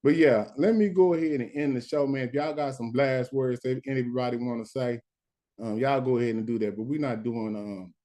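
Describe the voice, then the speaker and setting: A man in runs a live stream late at night, worn out, breaking off and restarting his points. His voice sits in an ordinary middle pitch. He sounds measured even while arguing. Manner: halting, many restarts and "hold on". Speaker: stand-in host of a live stream. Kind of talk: casual live-stream talk.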